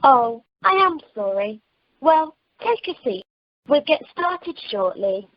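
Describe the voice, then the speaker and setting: Woman as a high, lively holiday rep. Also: takes it slowly, dry recording, heavily acted. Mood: welcoming. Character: chirpy, kindly female holiday rep. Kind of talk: amateur radio comedy acting. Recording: dull telephone conference line.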